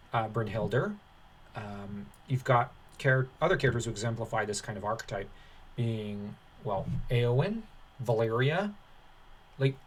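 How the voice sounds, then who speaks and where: A man hosting a commentary channel, talking casually and haltingly, with a dismissive, sarcastic streak. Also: medium pitch, a little hiss or hum, low-quality recording.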